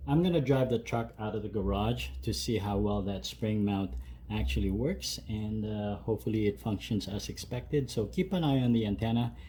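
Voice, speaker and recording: A man's voice: fairly low pitch, dark tone, a little hiss or hum. A man hosting a DIY video, talking through the steps casually and unscripted, with small hesitations. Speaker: host of a DIY truck modification channel. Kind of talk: casual step-by-step how-to narration. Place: home garage.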